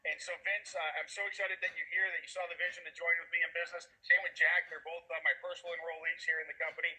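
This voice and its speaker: Casting: fast-talking Boston man hosting a video call, upbeat and rambling. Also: on the high side, flat delivery, pushed and bright, low-quality recording. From Massachusetts, USA. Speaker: host introducing speakers on a video call. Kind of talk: enthusiastic motivational pitch.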